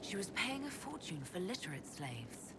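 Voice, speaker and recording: A woman's voice: medium pitch, big pitch swings, normal speed, close to an everyday voice. An actress playing a confident female adventuring leader in a video game, dry and decisive. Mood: investigating. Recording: clear background ambience noise.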